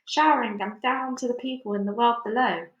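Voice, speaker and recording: A woman voicing a cautious young boy in a children's storybook, speaking in a hushed, urgent way. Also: on the high side, steady tone, brisk, roomy sound, highs cut off, natural voice. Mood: urgent excitement.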